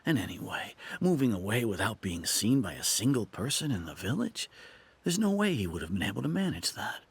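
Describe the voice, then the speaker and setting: A man's voice: fairly low pitch, very animated, a little fast, slightly rough, dry recording, heavily acted. An actor voicing a friendly, earnest villager in a video game who worries about a missing young man. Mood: worried.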